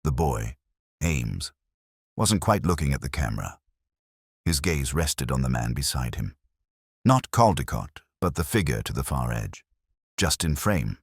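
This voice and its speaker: A man playing a brooding, erudite English detective who narrates a mystery in measured, introspective, literary phrases with a husky voice. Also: very deep voice, very animated, no echo, clean studio sound, heavily acted. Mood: wistful, suspicious. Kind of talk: scripted first-person mystery narration.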